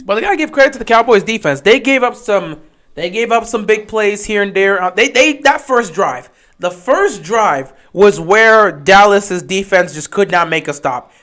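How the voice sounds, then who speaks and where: A man giving casual live play-by-play commentary on a stream, getting excited and loud on big plays. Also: sits high, moderate pace. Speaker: livestream game commentator.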